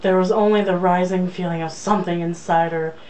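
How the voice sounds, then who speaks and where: A woman's voice: on the low side, steady tone, brisk, darkish tone. A young woman casually reading a romance novel aloud, with an irreverent, joking manner. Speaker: reader. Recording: distant mic, faint background noise.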